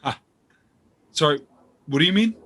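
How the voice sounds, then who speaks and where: Man playing an earnest, bright-voiced aspiring novelist. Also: on the high side, expressive, normal speed. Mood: polite, awkward. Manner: hesitant, apologetic, polite. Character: earnest, self-deprecating male aspiring novelist, privileged and guilty. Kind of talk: naturalistic stage play dialogue.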